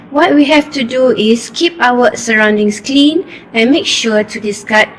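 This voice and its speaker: High-voiced woman narrating for children. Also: slight background noise, poor audio.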